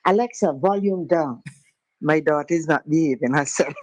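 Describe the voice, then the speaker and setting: A woman's voice: deep voice, normal speed, a little mellow, no room sound. A woman phoning a radio show, chatting in a casual, familiar way. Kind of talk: casual phone-in chat. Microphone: phone call.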